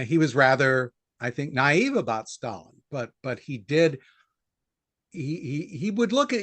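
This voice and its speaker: A man's voice: on the high side, a bit bright, no echo. An older man with an American accent, speaking as a historian guest in an interview and thinking aloud in a discursive way. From United States.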